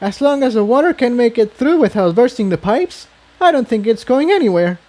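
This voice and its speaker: Man voicing a mild, high-pitched girl pony. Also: animated, a little fast, warm tone. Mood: satisfied.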